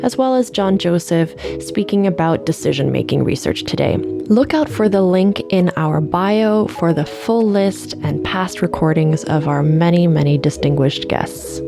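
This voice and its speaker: A woman hosting a live interview show, reading the intro in a clear, scripted way. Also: on the low side, a little slow, hardly any pauses, over music. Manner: clear, read-aloud delivery. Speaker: host, lecturer.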